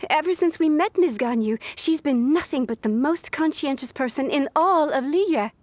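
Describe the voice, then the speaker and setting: A woman's voice: sits high, expressive, normal speed, a little hiss or hum. A woman voicing an earnest, anxious young secretary in a video game, with a polite manner. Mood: worried.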